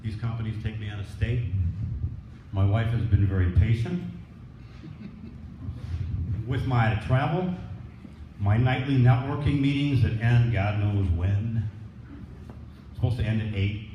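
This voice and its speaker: Male business owner, informal thank-you speech, plainspoken; dull recording with clear background noise. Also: on the low side, steady tone, unhurried, long pauses. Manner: plainspoken, colloquial. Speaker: business owner accepting an award. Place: echoey indoor room at a presentation event. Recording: echoey, dull, noisy room recording.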